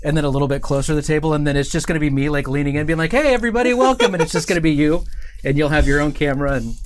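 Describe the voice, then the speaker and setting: Host, middle-aged man, slightly high voice, loose and rambling studio banter. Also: rapid-fire, a little hiss or hum. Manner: chatty, joking, rambling with fillers. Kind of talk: casual livestream chat. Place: studio set with couch and table.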